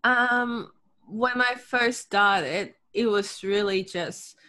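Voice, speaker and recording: A middle-aged woman from Hong Kong with a Hong Kong English accent, chatting in an interview, lively, often laughing, with frequent ums. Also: medium pitch, very slow. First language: Cantonese. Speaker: guest, author and confidence coach. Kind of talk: casual interview talk.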